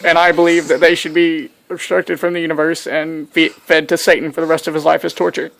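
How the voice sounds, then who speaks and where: Man putting on a high, ranting little blue creature. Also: little pitch movement, a little fast, gravelly, fairly bright tone, rough recording. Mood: indignant, commanding. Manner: ranting, declamatory. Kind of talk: improvised comic storytelling.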